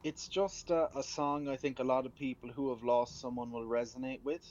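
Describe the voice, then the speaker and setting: A man's voice: mid-range voice, steady tone, brisk, a little mellow, no room sound. A man with an Irish accent talking earnestly and conversationally as a musician guest on a radio phone interview. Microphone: telephone. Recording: muffled phone line.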